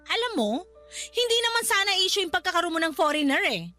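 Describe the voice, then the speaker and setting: An earnest Filipina resort manager in a radio drama, speaking in a very high, bright voice. She is wronged but conciliatory, reproachful and pleading, over a faint music bed. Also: very animated, fast talker, exaggerated voice. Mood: reproachful, hurt.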